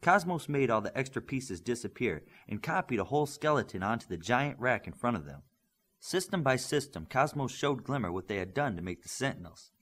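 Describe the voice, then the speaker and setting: A man's voice: medium pitch, big pitch swings, brisk, a bit bright, breathy edge. A man reading a scripted story aloud as an audiobook narrator.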